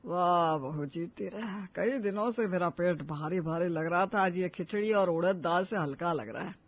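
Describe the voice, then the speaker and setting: A contented old grandfather acted by a man speaks approvingly and without hurry. His voice is high for a man, on a slightly muffled cartoon track. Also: moderate pace. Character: grateful, contented elderly father-in-law.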